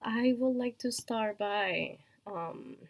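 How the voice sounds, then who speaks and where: Slow, hesitant woman with a Colombian accent. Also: on the high side, a little hiss or hum. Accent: Colombian Spanish. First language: Spanish. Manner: hesitant, many fillers and soft laughs. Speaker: vlogger and online shop owner. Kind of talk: casual personal vlog.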